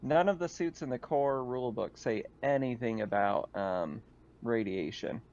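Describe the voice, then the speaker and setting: A man running a tabletop game as game master, talking casually through rules in a halting, agreeable way. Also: mid-range voice, darkish tone, highs cut off.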